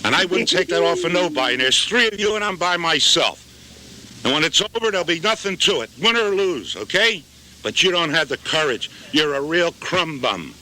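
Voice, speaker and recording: A belligerent man with a high voice that jumps up and down as he challenges and insults in an angry face-to-face confrontation. It is a noisy outdoor clip with vehicle sound behind him. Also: animated, moderate pace, bright, forceful tone. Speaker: mayor, former police officer.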